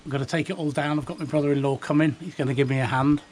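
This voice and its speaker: A man's voice: on the high side, fairly even intonation, rapid-fire, darkish tone, slight background noise. A British man in his fifties giving a chatty, unpolished, rambling show-and-tell as a hobbyist, recorded outdoors on a handheld device. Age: 50s. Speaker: hobbyist video maker. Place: outdoors beside a house, breezy. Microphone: handheld camera or phone.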